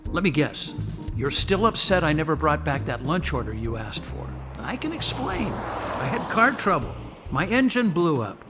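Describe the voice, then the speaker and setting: A man's voice: on the high side, big pitch swings, moderate pace. A man playing a wisecracking ghost of a late husband in a radio drama, breezy and joking. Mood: teasing. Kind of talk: contemporary radio drama acting. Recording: long reverb, music behind.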